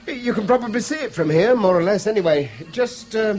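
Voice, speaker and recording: A man's voice: high voice, lively intonation, a little fast. A British actor in a 1970s radio drama playing a guarded, conscientious man with a polite, hesitant manner.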